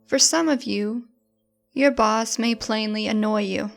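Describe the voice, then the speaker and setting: A woman leads a guided meditation at a very unhurried pace. Her voice sits fairly high, with a touch of gravelly fry at times. She speaks in a gentle, informal, encouraging way over music.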